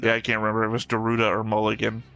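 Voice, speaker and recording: A man's voice: on the low side, monotone, racing, gravelly, pushed and bright. A man casually commentating on a video he is watching, in a conversational, opinionated way. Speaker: reaction show host.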